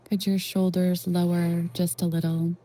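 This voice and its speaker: A woman leading a guided meditation in a gentle, reassuring, unhurried way with long pauses. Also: on the low side, level tone. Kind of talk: soothing guided meditation narration.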